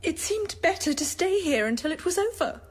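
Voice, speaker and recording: An actress playing a prim, fussy schoolmistress, timid and indignant, in a radio drama. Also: female voice, very high-pitched, animated, normal speed. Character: prim, fearful, tale-telling schoolmistress. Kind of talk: dramatised radio novel acting.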